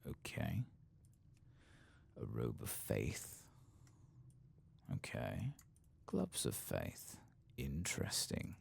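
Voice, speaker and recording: Guy doing quiet asmr game commentary, super low gravelly breathy voice, drifts into whispers, unhurried. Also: wildly swooping pitch. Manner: low-key, murmuring to himself while playing. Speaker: streamer playing a video game.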